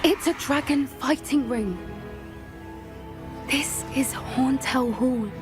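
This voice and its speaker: A cautious young witch with a high voice. She is observant and earnest, a measured companion in a fantasy game, with clear music underneath. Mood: disgusted, earnest. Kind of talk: video game cinematic acting.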